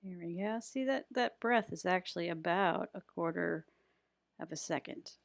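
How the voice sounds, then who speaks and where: A woman's voice: fairly low pitch, moderate pace, gravelly, no room sound. A woman giving a casual, unscripted editing tutorial in a chatty, rambling way with self-deprecating humour.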